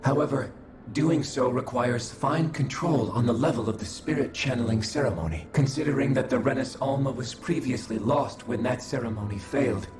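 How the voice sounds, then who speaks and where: A man's voice: medium pitch, moderate pace, close to an everyday voice. A man voicing a cold, clinical observer. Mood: detached. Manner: formal, detached, clinical. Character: cold calculating male observer entity. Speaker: game character. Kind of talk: video game cutscene acting. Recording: echoey, with game music behind.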